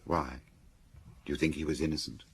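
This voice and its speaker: An actor playing a detective's dry, probing confidant in a radio drama. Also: male voice, very deep voice, steady tone, fairly quick, husky, heavily acted. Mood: inquiring. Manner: questioning, dry.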